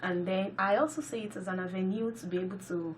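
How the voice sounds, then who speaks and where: A woman's voice: medium pitch, fairly even intonation, fast, recorded from a distance. Nigerian woman, yoruba her first language, guest prof on a radio chat, says yeah a lot, a bit off mic w faint hiss. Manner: agreeable, echoes the host's words, frequent yeah. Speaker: guest professor. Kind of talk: radio interview answers.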